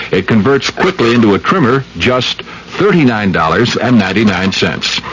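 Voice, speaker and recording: A man with an American accent reading a scripted radio advertisement as a pitchman. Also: a bit bright. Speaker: commercial pitchman. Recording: slightly noisy old radio broadcast.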